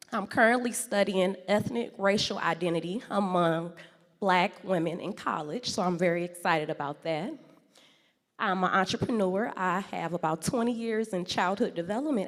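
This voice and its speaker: A woman from St. Louis in her forties, giving a loud, playful talk that draws in the audience. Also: medium pitch. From St. Louis, USA. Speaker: guest speaker. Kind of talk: lively public talk with audience games. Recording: echoey hall sound.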